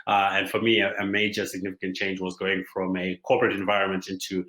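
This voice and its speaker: A man from Zimbabwe gives a conference presentation, his speech halting and full of fillers and pauses. His voice sits low but has a bright, forceful edge. The room around him rings with echo. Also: monotone. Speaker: startup founder giving a talk.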